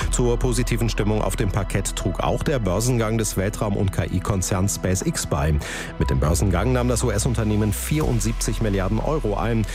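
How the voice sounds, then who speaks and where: A man with a low voice presents scripted radio news in a standard German accent. He reads formally from a script, with a clear music bed behind him.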